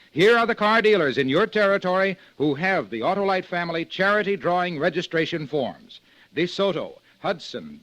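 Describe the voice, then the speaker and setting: A man's voice: sits high, a bit bright, no echo, poor audio. An American man working as a commercial announcer, reading in 1950s radio advertisement style.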